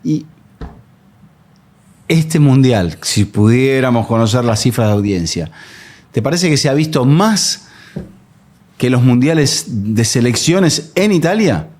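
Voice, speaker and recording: A man's voice: mid-range voice, takes it slowly, long pauses. A man co-hosting a football talk show, asking questions and steering the conversation. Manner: lively, questioning, steers the topic. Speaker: co-host. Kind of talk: casual football discussion.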